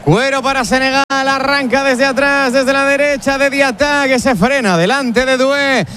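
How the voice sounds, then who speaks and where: A man from Spain calling a football match live, quick and playful with joking asides between plays. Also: extremely high pitch, steady tone, pushed and bright, faint music behind. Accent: Spanish from Spain. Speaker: play-by-play commentator. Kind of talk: live football commentary with banter.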